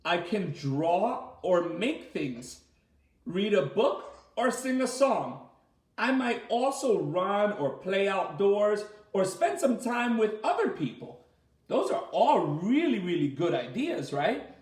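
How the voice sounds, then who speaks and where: A man leading a children's story time as a teacher, reading aloud and asking the listeners simple questions in an animated way. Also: sits high, slow. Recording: roomy, echoey sound.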